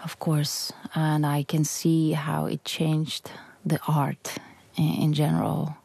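Low gravelly Ukrainian-accented woman. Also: completely flat delivery, slow pace, darkish tone, no room sound. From western Ukraine. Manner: earnest, searching for words in English, many restarts. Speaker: musician guest. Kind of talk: interview answers.

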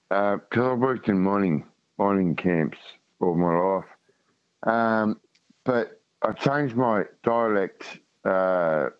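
A man with a thick rural Tasmanian accent, chatting casually as a radio caller in a halting, matey way. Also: lowish voice, no room sound. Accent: thick rural Tasmanian Australian. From Tasmania, Australia. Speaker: radio call-in guest. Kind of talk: casual chat. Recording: dull phone-in line.

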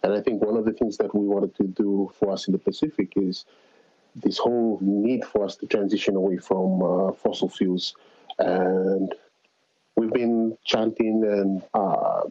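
A man's voice: fairly low pitch, mellow, slightly rough. A man with a Fijian accent answering casually as an interview guest, speaking as a climate organizer over a remote call. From Fiji. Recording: dull, roomy call audio.

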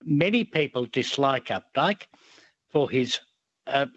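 A man's voice: mid-range voice, expressive, fairly bright tone, lo-fi sound. A man calling in to a radio show, hesitant and thoughtful, with many ums. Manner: halting, slow, full of ums, thoughtful. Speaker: caller. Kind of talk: phone-in chat.

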